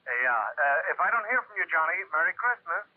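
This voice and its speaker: A man's voice: a little high, very animated, brisk, phone-quality sound, very noisy. An actor playing a chummy, cajoling insurance office man on the telephone in an old-time radio drama. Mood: chummy, coaxing. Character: coaxing male insurance office boss on the phone. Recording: thin telephone sound, vintage radio.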